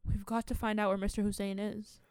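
A woman's voice: medium pitch, little pitch movement, fairly quick, darkish tone, clean tone, no room sound, clean studio sound, lightly acted. A woman acting in a radio play as a determined, indignant investigator.

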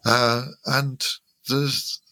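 A man's voice: medium pitch, flat delivery, very slow, sharp tone, dry recording. An older man giving conversational technical explanations as an interview guest, with hesitant, thoughtful phrasing. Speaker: interview guest, loudspeaker designer.

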